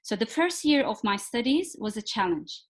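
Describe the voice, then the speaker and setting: Woman, fairly high voice. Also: very animated. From Turkey. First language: Turkish. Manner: thoughtful, frequent filler pauses. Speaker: guest, executive coach. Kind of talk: podcast interview.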